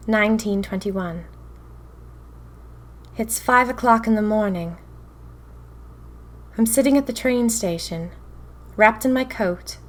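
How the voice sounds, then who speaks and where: A woman's voice: medium pitch, close to an everyday voice. A woman playing a whimsical, chatty, easily spooked 1920s diarist in a theatrical, wry audio drama narration. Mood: frightened. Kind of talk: dramatic first-person diary narration.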